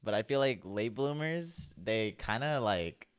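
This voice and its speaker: A young man chatting casually on a podcast, his voice low-pitched and smooth. He rambles through stories at an easy pace, filling the gaps with "like" and "um.". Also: expressive, measured pace. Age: young adult. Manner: laid-back storytelling, heavy use of "like". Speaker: podcast co-host. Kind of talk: casual chat.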